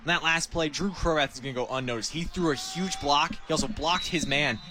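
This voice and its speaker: A man's voice: on the high side, brisk, bright, forceful tone, a little hiss or hum. A man with an American accent giving live football analysis as a color commentator.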